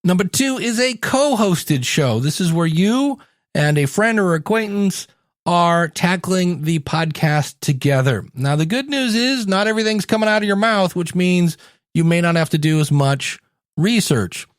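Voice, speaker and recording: A man's voice: high voice, expressive, a little slow, no room sound, studio quality. An American man hosting a solo podcast, coaching listeners in a conversational, direct way. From United States, Ohio. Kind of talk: solo podcast talk, teaching.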